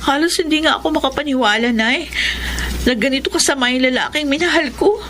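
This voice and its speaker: Tender, conscientious young nurse in a radio drama: high voice, earnest and pleading, faint background noise. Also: female voice, a little fast, fairly bright tone. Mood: anguished. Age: young adult. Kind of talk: Filipino radio drama acting.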